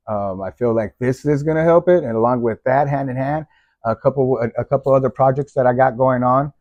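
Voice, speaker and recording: A man's voice: medium pitch, darkish tone, no room sound. A middle-aged man from Texas, vlogging in a rambling, casual way with many fillers and restarts. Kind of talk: casual faith-based vlog intro. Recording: dull, dry lo-fi sound.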